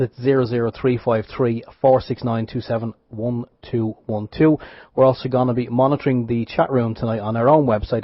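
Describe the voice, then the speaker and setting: A man co-hosting an internet radio show, casually reading out event details and correcting his slips with good humour. His voice sits at a mid pitch. The dry, dull sound suggests a lo-fi studio feed. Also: breathy edge, no echo. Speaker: radio show co-host and producer.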